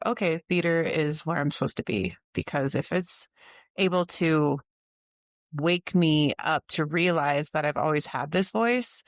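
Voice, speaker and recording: A woman's voice: low voice, dry recording. A woman co-hosting a podcast, talking earnestly and thoughtfully, often with a laugh. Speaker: podcast co-host. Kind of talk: casual reflective chat.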